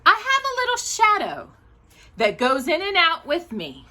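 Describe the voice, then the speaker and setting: A woman hosting a children's storytime, reading aloud in an animated, sing-song manner. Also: very high voice, a little slow.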